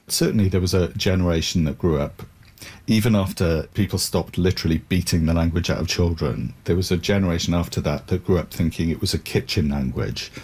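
A man's voice: low-pitched, a little fast, darkish tone, slightly rough. A middle-aged man, talking reflectively and articulately as an interview guest, in a thoughtful storytelling way. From South Wales.

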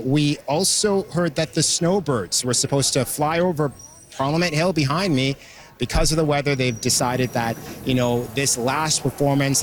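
A male field reporter delivers a live update from outdoors. He talks conversationally and briskly, tripping over words now and then, at an ordinary middle pitch. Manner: conversational, brisk, with fillers and restarts. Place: outdoors on location.

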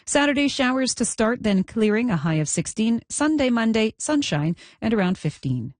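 A woman reading a scripted radio news bulletin and weather forecast in a crisp, even manner from a newsroom studio. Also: a little high, moderate pace.

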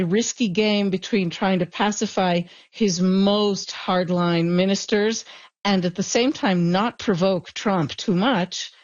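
A woman's voice: mid-range voice, a little mellow. A woman journalist gives fluent, explanatory analysis in an interview. Her voice sits in a mid range. It comes through a dull, lo-fi remote line. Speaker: journalist guest.

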